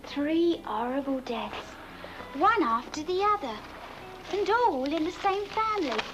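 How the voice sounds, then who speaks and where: High-pitched flirty British village woman. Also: animated, measured pace, lo-fi sound, over music. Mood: suspicious. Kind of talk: comic film acting.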